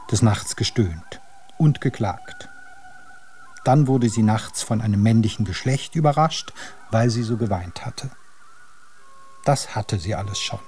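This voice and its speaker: An actor playing a storyteller, narrating an absurd fairy tale in a radio play with a wry, measured manner. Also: male voice, on the low side, warm tone.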